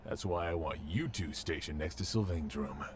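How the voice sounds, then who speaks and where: A man's voice: rumbling low, moderate pace, slight background noise. An actor playing a composed, authoritative male sheriff in a video game, businesslike in delivery.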